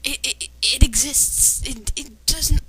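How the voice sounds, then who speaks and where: A woman's voice: high-pitched, slow pace, bright, forceful tone, breathy edge, faint music behind. A woman reading fan fiction aloud with sarcastic, critical commentary. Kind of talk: dramatic reading with mocking commentary.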